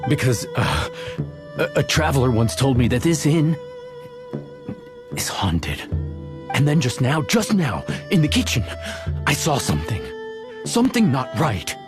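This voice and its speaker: Gruff, animated ex-bandit chef. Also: male voice, medium pitch, measured pace, long pauses, over music. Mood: nervous. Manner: brusque, faltering when nervous. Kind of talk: expressive video game voice acting.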